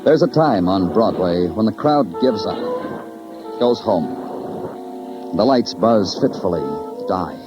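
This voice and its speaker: Low-voiced, hard-boiled American detective. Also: male voice, lively intonation. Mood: brooding, wistful. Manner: terse, deliberate, brooding. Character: weary, hard-boiled male Broadway police detective. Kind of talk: 1950s radio crime drama acting. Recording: muffled early 1950s radio recording, music.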